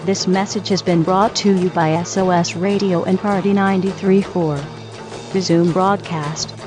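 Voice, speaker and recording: A woman's voice: fairly low pitch, steady tone, moderate pace, over music, lightly acted. A woman playing an impersonal emergency broadcast announcer, reading scripted warnings in an official manner. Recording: dull, dry lo-fi broadcast.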